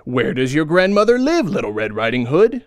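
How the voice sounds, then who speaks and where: A man voicing a wicked, sly male wolf in a storybook reading, with a gruff voice. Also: fairly high pitch, big pitch swings, moderate pace, dry recording, clean studio sound.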